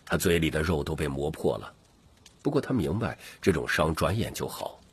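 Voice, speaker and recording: A man's voice: a bass voice, animated, moderate pace, breathy edge. A man reading dramatic scripted fantasy narration as an audiobook narrator.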